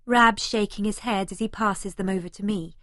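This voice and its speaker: A woman's voice: medium pitch, fairly even intonation, moderate pace, bright, forceful tone, no room sound. Woman narrating a novel, close and dry. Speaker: audiobook narrator. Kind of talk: first-person scripted narration. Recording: remastered audiobook, close and dry.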